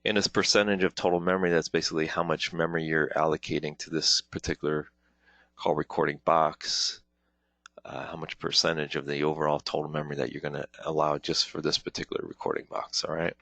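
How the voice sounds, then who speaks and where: Male webinar trainer, very deep voice, dry sound, informal and halting step-by-step tutorial delivery. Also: flat delivery, fairly bright tone, dry recording. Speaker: webinar presenter, product trainer. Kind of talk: informal software tutorial, live demonstration walkthrough.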